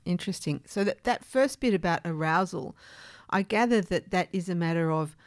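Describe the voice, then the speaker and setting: Low-voiced woman hosting a research discussion. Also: moderate pace, dry recording, clean studio sound. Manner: inquisitive, thoughtful, affirming listener. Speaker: podcast host and interviewer.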